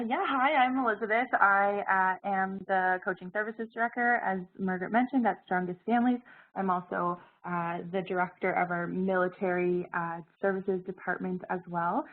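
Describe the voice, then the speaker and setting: A woman presenting an information session while she has a cold, her voice scratchy, pausing often with fillers. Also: mid-range voice. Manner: hesitant, many fillers and restarts. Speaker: presenter, program director. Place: Halifax, Canada. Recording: phone-like narrow audio.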